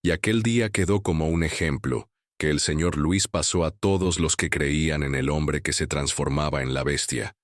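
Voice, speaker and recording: A man narrating scripted horror stories in a grave, measured manner. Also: a bass voice, no echo, clean studio sound.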